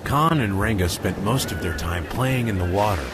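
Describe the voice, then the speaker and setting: A man narrates a children's story in a low, animated voice. It is a scripted storybook read, with chirping crickets and insects clearly audible behind him. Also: normal speed, very noisy.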